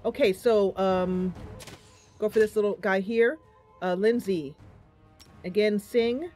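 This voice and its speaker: A woman's voice: mid-range voice, slow, mellow, soft background music. A woman hosting a gaming channel, chatting casually through her gameplay and thinking aloud with lots of fillers.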